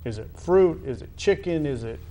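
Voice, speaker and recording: A man hosting a conversational sports interview, feeling his way through questions in a halting manner and often rephrasing them. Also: a little high, animated, dark tone. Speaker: interviewer, show host.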